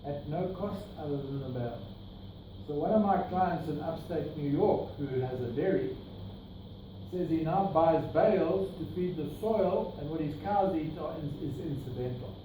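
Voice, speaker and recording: Man, South African accent, middle-aged, workshop slide talk, fairly high voice, far from the mic, noisy room. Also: normal speed. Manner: explanatory, points at slides, rhetorical asides. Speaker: grazing workshop presenter. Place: meeting room with projector screen. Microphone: far camera, no mic. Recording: distant echoey room with noise.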